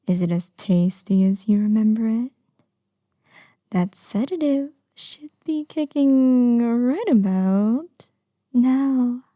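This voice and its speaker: A woman playing a lovesick, manipulative young yandere under arrest in an intimate audio roleplay, with a breathy delivery that slips into whispers. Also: a little high, expressive, slow, warm tone, dry recording, studio quality. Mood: smug, menacing. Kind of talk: intimate audio roleplay acting addressed to the listener.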